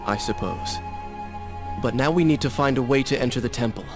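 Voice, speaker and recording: An actor playing a proud, sardonic and determined young warrior prince in a video game cutscene. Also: male voice, mid-range voice, brisk, close to an everyday voice. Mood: earnest, resolute. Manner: sardonic, resolute. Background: music.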